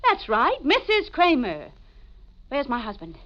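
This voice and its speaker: A woman's voice: high-pitched, wildly swooping pitch, unhurried, exaggerated voice. An actress playing a distraught, insistent wife who has lost her identity, in melodramatic 1940s radio mystery style. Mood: insistent, agitated. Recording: muffled old 1940s radio recording, organ music.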